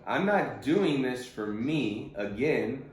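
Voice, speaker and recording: A man's voice: medium pitch, lively intonation, slow pace. A man with a mid-range voice speaks in a strongly reverberant room, as on a group call. He coaches informally, in a blunt, insistent way. He leans on repetition to drive his points home. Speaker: day-trading coach. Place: conference call recording in echoey room.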